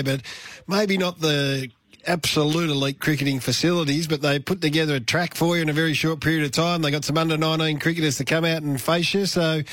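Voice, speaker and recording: A man's voice: fairly high pitch, fairly bright tone. An Australian man hosting a casual sports interview in an enthusiastic, chummy manner. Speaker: radio interviewer.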